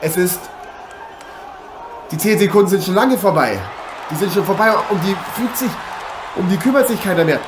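Man playing a rambling, higher-pitched boxing co-commentator. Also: moderate pace, long pauses, crowd noise, lightly acted. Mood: stunned. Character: American boxer Matthew Ironhead co-commentating, dramatically aghast. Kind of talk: improvised parody live sports commentary. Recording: noisy amateur recording with ambience.

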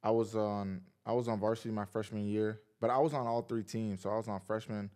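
Laid-back young man, smooth low voice. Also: brisk. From Massachusetts, US. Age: 20s. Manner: relaxed, laid-back storytelling with frequent "like". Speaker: guest, pro football player. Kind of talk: casual podcast interview. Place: small indoor podcast studio. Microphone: boom mic on arm beside him.

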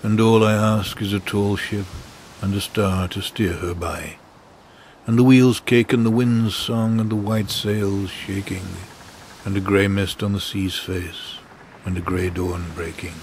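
A man reading a poem in a solemn, measured, deliberate recitation. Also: on the low side, flat delivery, a little mellow. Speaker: poetry reader. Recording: clear background ambience noise.

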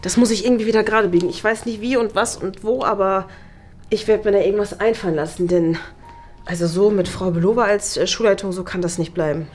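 A woman's voice: mid-range voice, quick pace, a little mellow, soft background music, lightly acted. A woman acting a condescending, exasperated former headmistress in a comedy sketch, lecturing pointedly. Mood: scheming. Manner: pointed, lecturing, ends phrases with "ne?". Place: small bright meeting room.

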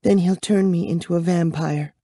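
Woman doing scared bitter 12 yr old girl for an audiobook, soft mellow tone, kinda low, trembly. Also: fairly even intonation, normal speed, no room sound, clean studio sound. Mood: grim. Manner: trembling, urgent delivery. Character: frightened, bitter twelve-year-old girl held prisoner by vampires. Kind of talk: acted audiobook dialogue.

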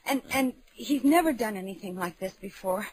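An actress playing a nervous, apologetic young out-of-town wife in an old-time radio drama, hesitant and flustered. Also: female voice, mid-range voice, animated, moderate pace, a little mellow, a little hiss or hum, lightly acted, rough recording. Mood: nervous, embarrassed. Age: young adult.

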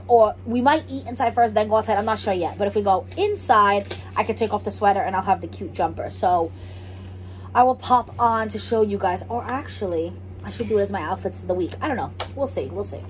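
A woman's voice: mid-range voice, low-quality recording. Chatty young woman vlogging. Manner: chatty, lots of "like" and restarts. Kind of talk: casual vlog chat. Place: home kitchen.

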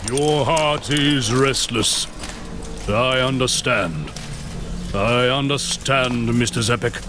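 Man as a slow-spoken solemn older knight. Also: mid-range voice. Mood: earnest. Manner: formal, earnest. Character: solemn, devout, burly older bearded male knight. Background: background music.

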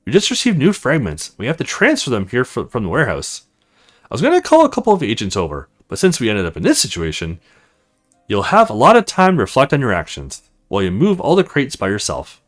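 A man's voice: medium pitch, huge pitch swings, fairly quick, soft background music. A man voicing a stern, teasing female officer in a visual novel, matter-of-fact and commanding. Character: stern, teasing military woman officer in charge.

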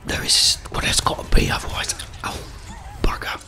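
A man's voice: normal speed, bright, forceful tone. A middle-aged man with a British accent whispers rambling, apologetic ASMR commentary while playing a game. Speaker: game streamer. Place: small indoor room.

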